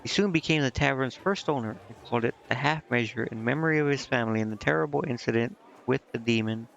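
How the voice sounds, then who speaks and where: A man's voice: medium pitch, fast, vocal fry, a bit bright. A man doing casual live game commentary, informally thinking aloud and reading dialogue out as he goes. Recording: dull, band-limited sound with music. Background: game music.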